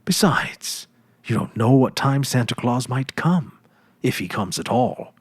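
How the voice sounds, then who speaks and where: A man voicing a gentle sixteen-year-old farm girl in a storybook, a caring elder sister who speaks softly and patiently with quiet firmness. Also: mid-range voice, expressive, measured pace, husky, dry recording. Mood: gently firm. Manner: soft, patient, gently firm.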